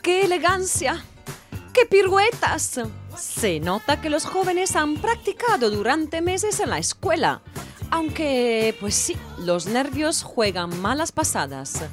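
A woman playing a wry, excitable narrator in an audio drama, telling the tale like a running commentary. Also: high voice, takes it slowly, full character voice. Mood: excited commentary. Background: music.

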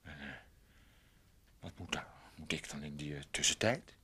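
A man's voice: a bass voice, normal speed, sharp tone, slightly rough, full character voice. An actor in a radio play playing a jealous, possessive man who is scornful, clinging and taunting. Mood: subdued, wary. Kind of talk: Dutch radio play acting.

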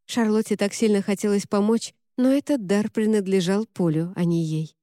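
Mellow woman narrating an audiobook. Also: medium pitch, normal speed, dry recording, studio quality. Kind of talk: scripted narration.

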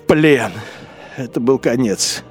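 An actor playing an anguished, defeated young army lieutenant, brooding in halting phrases in a radio play. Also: male voice, mid-range voice, soft background music, lightly acted. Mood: despairing. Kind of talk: radio play inner monologue.

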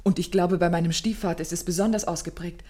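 A woman's voice: on the low side, steady tone, fast, dark tone, close to an everyday voice. An actress playing a distressed, well-bred young woman in a radio play, pleading in formal, articulate speech. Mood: troubled recounting. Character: distressed, well-bred young English heiress seeking help. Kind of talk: German radio play acting.

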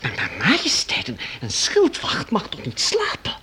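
A man's voice: mid-range voice, huge pitch swings, unhurried, sharp tone, slight background noise, full character voice. A man playing a fussy, stiff and deferential baron in a radio play, pompous and spluttering in manner. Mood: flustered, formal.

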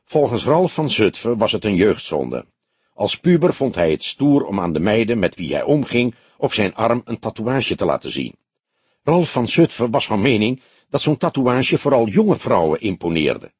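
A man's voice: fairly low pitch, sing-song, normal speed, darkish tone, husky, no room sound. A man reading a crime novel aloud as scripted audiobook narration.

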